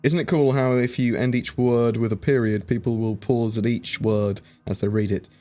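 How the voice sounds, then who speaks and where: A man's voice: medium pitch, steady tone, moderate pace, dark tone. Guy rambling thru chat questions on his stream, lots of ums, mid voice, bit of music in the back. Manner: chatty, reads viewer questions aloud and answers with many fillers. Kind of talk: casual livestream Q&A.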